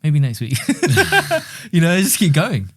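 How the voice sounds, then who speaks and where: A man's voice: fairly high pitch, wildly swooping pitch, takes it slowly, a bit bright, slightly rough. A man, co-hosting a podcast in laid-back, easily amused chat. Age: 30s. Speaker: podcast co-host. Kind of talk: casual chat.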